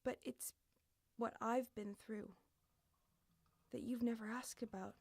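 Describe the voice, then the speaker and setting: A woman's voice: a little high, fairly even intonation, slow, vocal fry, darkish tone. A woman reading aloud in a spoken-word poetry recital. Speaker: poetry reader.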